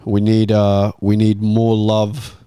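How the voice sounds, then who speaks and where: A man's voice: on the low side, completely flat delivery, slow, dark tone, clear, resonant tone, no room sound, studio quality. A man hosting a casual football podcast, reading out listener comments in a blokey, slangy way. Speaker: podcast host.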